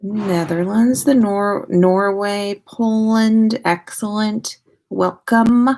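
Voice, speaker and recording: American woman, livestream host, casual rambling chat, tired sound, playful, slow pace, mid-range pitch. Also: fairly even intonation, a little mellow. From United States. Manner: informal, rambling, playful with sing-song asides. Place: own room at home.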